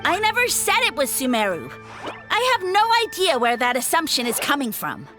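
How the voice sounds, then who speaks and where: Pompous high-pitched little mushroom creature. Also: female voice, wildly swooping pitch, normal speed, pushed and bright, faint music behind, heavily acted. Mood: huffy, defensive. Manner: pompous, theatrical, taunting. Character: smug boastful little male mushroom creature, self-styled ruler. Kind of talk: video game voice acting.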